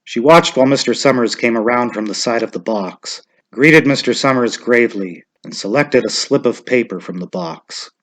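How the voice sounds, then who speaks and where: Mid-pitched man narrating a dramatic story. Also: moderate pace, a bit bright.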